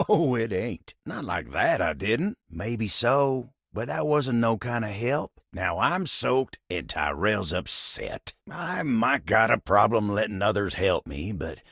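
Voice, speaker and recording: Low, gravelly Southern workhorse. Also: male voice, fairly bright tone, no room sound, studio quality, full character voice. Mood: exasperated, defensive. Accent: American Southern. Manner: folksy drawl, courteous, plain-spoken. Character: living wooden table, folksy, gruff, dependable, bashful working man. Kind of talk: video game character acting.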